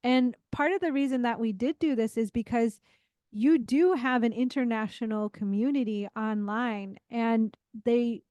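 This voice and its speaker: A woman's voice: a little high, measured pace, dark tone, dry recording, highs cut off. A woman hosting a podcast, interviewing a guest in an encouraging way. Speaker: podcast host, business coach.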